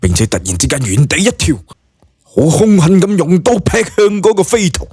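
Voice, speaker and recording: A man's voice: high-pitched, wildly swooping pitch, normal speed, a little mellow, husky, no room sound, studio quality, faint music behind. A man with a Cantonese accent narrating an audiobook story in a dramatic, colloquial storytelling style.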